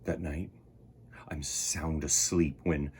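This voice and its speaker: A man reading a storybook aloud as a young boy who is wary and then fond of his pet, with a husky voice and an expressive, dramatic delivery. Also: a bass voice, slow, noisy background, pitched below the performer's own voice. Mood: hushed. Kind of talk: animated storybook read-aloud.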